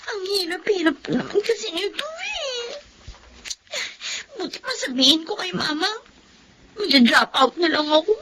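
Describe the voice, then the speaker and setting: A woman's voice: extremely high pitch, big pitch swings, moderate pace, long pauses, pushed and bright, a little hiss or hum, full character voice. A woman acting a timid, overwhelmed young college freshman in a Filipino radio drama, hesitant and stammering, with a Filipino accent. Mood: upset, overwhelmed.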